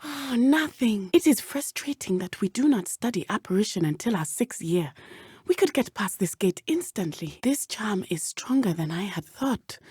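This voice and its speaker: An earnest, loyal teenage witch from a fantasy video game. She speaks with a southern African accent at a middling pitch, choosing formal, careful words. The recording is dry and close, with no room sound. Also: lively intonation, moderate pace, a little mellow, husky, no echo. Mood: composed, determined. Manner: formal, precise phrasing without contractions. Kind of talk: video game character dialogue.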